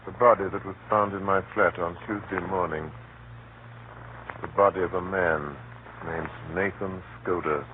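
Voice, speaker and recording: Casting: male actor as serious hunted hero, thirties, lower register, deliberate delivery, tinny vintage radio drama. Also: steady tone, close to an everyday voice. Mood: grave, urgent. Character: grave, hunted man in his thirties, former engineer. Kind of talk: 1930s radio acting. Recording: hissy, tinny 1930s radio broadcast.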